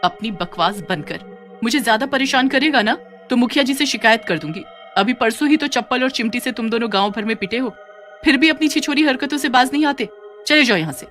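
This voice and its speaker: Woman acting a furious, high-pitched young village woman. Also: brisk, a bit bright, soft background music, close to an everyday voice. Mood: angry. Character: angry young village woman, defiant and scolding. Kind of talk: cartoon story character voice.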